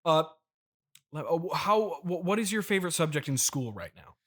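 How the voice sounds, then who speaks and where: Man playing a flustered dad host, very expressive pitch. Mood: patient, halting. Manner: flustered, halting, placating. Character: awkward, placating middle-aged podcast-mogul father. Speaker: podcast host. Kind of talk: improvised comedy interview acting.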